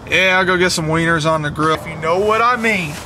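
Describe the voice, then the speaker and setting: A man playing a high-voiced, offhand camper. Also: a little fast, pushed and bright, slight background noise. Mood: casual. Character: young man camping, later bitten. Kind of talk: amateur film acting.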